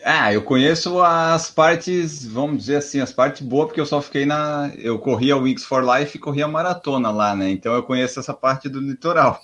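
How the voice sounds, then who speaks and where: A man interviewing a guest, asking short questions in a relaxed, casual way. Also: medium pitch, normal speed, few pauses.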